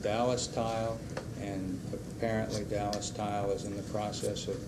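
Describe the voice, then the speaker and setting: A man giving a public comment at a school board meeting, deliberate and warning. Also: on the low side. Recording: noisy 1990s public-access broadcast.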